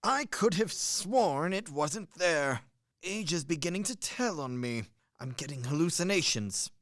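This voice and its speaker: A man's voice: on the high side, animated, unhurried, a bit bright, no echo, studio quality. Young man playing a blustering old major, swooping delivery. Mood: bemused, hearty. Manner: theatrical, hearty, exclamatory. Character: blustering, genial old army major and husband. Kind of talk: stage-style radio play acting.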